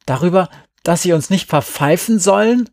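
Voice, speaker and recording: A man voicing a hard-faced but frightened child in an audiobook, blunt, forceful and slangy. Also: medium pitch, fairly even intonation, measured pace, no echo, clean studio sound. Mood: urgent, scornful. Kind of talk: acted audiobook dialogue.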